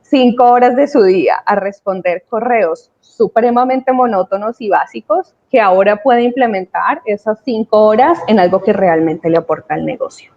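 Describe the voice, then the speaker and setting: A woman's voice: medium pitch, normal speed, few pauses. A woman with a Latin American accent speaking as a webinar panelist, explaining her points with emphasis. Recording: dull, lo-fi online call sound.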